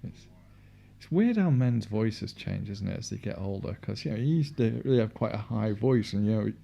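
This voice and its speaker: A man with a fairly deep voice talks through a film in a loose, chatty way, often laughing at himself. His tone is dark and mellow, with a gravelly fry at the bottom. He sounds relaxed and unscripted. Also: moderate pace, a little hiss or hum. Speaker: podcast co-host. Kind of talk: casual film commentary chat.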